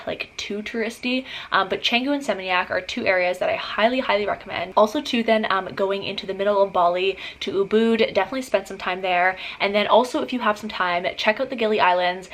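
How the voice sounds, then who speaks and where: A woman's voice: medium pitch, poor audio. Chatty young woman vlogging. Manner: chatty, upbeat, lots of "like" and "um". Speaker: travel vlogger. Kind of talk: casual vlog talk to camera.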